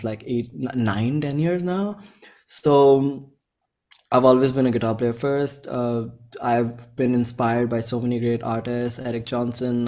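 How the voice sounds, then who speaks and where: A man's voice: mid-range voice, a little mellow. A man, a musician talking informally and thinking aloud in an interview, often saying "like". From India. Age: 20s. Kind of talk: casual interview chat.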